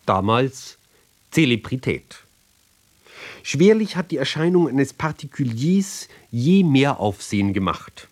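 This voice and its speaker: Guy doing a slow literary radio reading, medium pitch, deliberate, lots of pauses between phrases. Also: big pitch swings, a little mellow. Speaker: reader. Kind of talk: measured literary recitation for radio.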